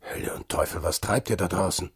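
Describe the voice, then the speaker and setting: An actor playing a brusque, mocking sailor in a radio drama. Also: male voice, sits low, fairly quick, exaggerated voice. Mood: gruff, challenging. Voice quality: husky. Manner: gruff, sarcastic. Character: brusque, mocking sailor living alone in a hut.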